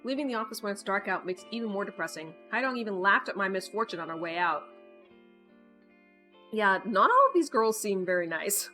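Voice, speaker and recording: A woman reading a visual novel aloud with casual, playful, wry asides, in an expressive manner. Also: mid-range voice, a little fast. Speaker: gaming video host. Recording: background music behind the voice. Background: music.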